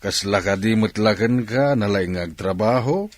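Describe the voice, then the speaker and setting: A man with a Filipino accent playing an observant, businesslike factory boss in a radio drama, speaking with expressive authority. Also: fairly low pitch, normal speed, dry recording, natural voice.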